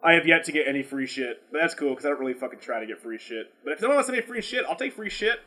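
A man's voice: fairly high pitch, rapid-fire. A man chatting casually as a podcast host, talking in a rambling, slangy way with plenty of swearing. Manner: profane, slangy, animated rambling. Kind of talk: casual unscripted podcast chat.

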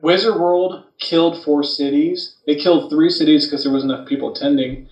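Guy on a podcast, kinda high voice, echoey room, crude jokey casual swearing. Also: fairly quick, lo-fi sound. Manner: crude, joking, animated storytelling with acted quotes. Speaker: podcast co-host. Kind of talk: casual, profane podcast banter. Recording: roomy, echoing sound, off the mic.

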